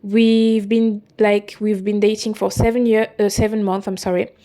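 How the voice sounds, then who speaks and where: Woman in her twenties, podcast host, non-native English speaker, halting, self-correcting, confessional. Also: mid-range voice, level tone, mellow. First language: not English. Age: 20s. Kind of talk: personal confessional monologue.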